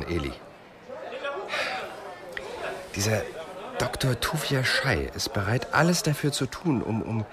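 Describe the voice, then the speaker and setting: A man playing an intense, quietly insistent police investigator with deliberate, measured speech in a radio crime drama. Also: mid-range voice, close to an everyday voice. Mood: fervent, musing.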